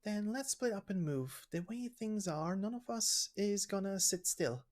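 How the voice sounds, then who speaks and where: A man's voice: high-pitched, animated, measured pace, a little mellow, voice pushed up. A man voicing an easygoing, flippant young companion from a game story, with a casual, breezy way of talking. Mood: reassuring. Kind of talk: video game story voice acting.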